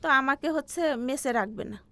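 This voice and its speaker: A woman in her forties with a Bangladeshi accent, telling her life story as an interview guest in a halting way, circling back over her phrases. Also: sits high, bright, forceful tone, dry recording. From Bangladesh, Faridpur region. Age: 40s.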